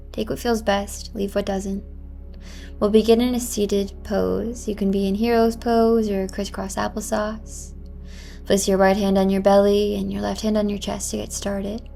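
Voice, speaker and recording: Female yoga instructor, gentle and encouraging, mid-pitched with noticeable vocal fry, music underneath. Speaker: yoga teacher. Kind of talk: guided yoga class with meditative cues.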